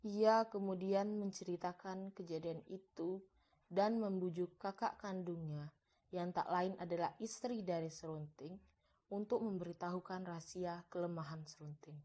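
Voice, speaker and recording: A woman's voice: on the low side, fairly even intonation, normal speed, dark tone, lo-fi sound. A woman narrator reading a scripted folktale for a cartoon in a storytelling style.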